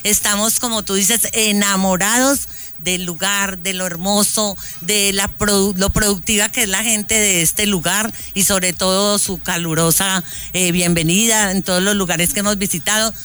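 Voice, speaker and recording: A woman's voice: medium pitch, fairly even intonation, moderate pace, fairly bright tone, clean tone, soft background music. A woman with a Colombian coffee-region accent, a tourist speaking on live radio in a gracious, enthusiastic way. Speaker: visiting tourist. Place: outdoors in a town park.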